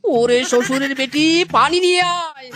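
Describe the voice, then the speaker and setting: Woman as exasperated cartoon animal husband, high voice, blustering, scolding, music behind. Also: moderate pace, lightly acted. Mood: angry scolding. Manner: blustering, repeats a catchphrase exclamation. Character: exasperated husband animal, hot-tempered, scolding his foolish wife. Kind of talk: exaggerated comic cartoon acting.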